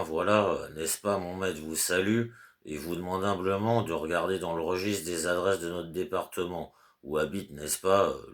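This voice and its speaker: A man's voice: deep voice, a bit bright. A man reading aloud and voicing a fussy, anxious middle-aged clerk who stammers and over-explains his orders. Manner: flustered, repeats fillers, fussy over-explaining. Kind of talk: audiobook character reading.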